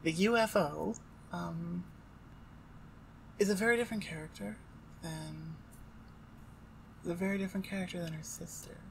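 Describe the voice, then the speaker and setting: A woman's voice: lowish voice, moderate pace, vocal fry, pauses often, low-quality recording. A woman audio creator talks casually to her listeners. She is informal and rambles, hesitating as she goes, and there is noticeable noise behind her voice.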